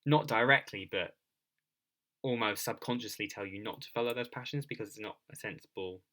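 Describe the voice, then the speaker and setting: A man's voice: lowish voice, a little fast, bright, forceful tone. A man hosting a podcast interview in a conversational way, feeling his way tentatively through roundabout questions and repeating words.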